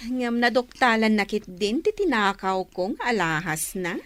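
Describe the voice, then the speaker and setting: A woman's voice: mid-range voice, lively intonation, unhurried, fairly bright tone, slight background noise. A woman playing a brazen, thieving, conniving confidante in an Ilocano radio drama. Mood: conniving, gossipy.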